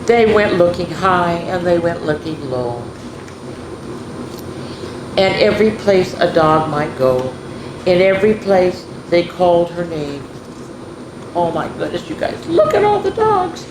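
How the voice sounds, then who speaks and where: A woman's voice: lowish voice, sing-song, measured pace, long pauses. A woman hosting a children's story time, reading picture books expressively and chatting directly to her young listeners. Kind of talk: animated picture book reading with chatty asides. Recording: echoey room, clearly noisy background hum.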